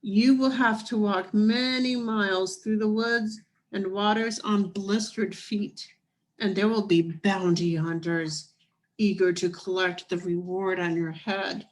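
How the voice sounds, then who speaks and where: Woman voicing slow, solemn Aunt Harriet, storybook guide. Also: mid-range voice, fairly even intonation, close to an everyday voice. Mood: solemn warning. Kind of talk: children's storybook reading.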